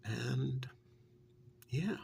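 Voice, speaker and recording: A man acting out a plain-spoken, fond handyman who serves a witch, in an ASMR role-play. His soft half-whisper crackles with heavy vocal fry. He takes his time, halting often, over a faintly noisy recording. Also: medium pitch, animated, full character voice. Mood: calm, fond reminiscing. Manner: unhurried, halting, matter-of-fact storytelling.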